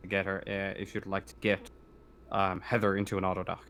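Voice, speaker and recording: A man working as game master, narrating a sci-fi tabletop roleplaying game in a halting, improvised way. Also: low voice, completely flat delivery, fairly bright tone, slight background noise.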